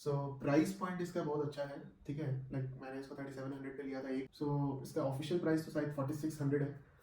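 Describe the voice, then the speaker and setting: A man's voice: medium pitch, steady tone, fast, warm tone. A man giving a casual, conversational product review, mixing in English phrases and often checking in with short tag questions. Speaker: vlogger reviewing gear. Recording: echoey room, distant microphone.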